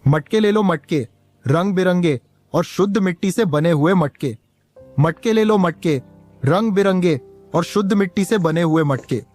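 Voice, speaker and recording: Man acting a high-pitched, humble village pot seller. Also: a little slow, darkish tone, faint music behind. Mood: hawking wares. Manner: earnest, plaintive. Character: poor, patient, hard-working village pot seller and father. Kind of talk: Hindi cartoon story dialogue.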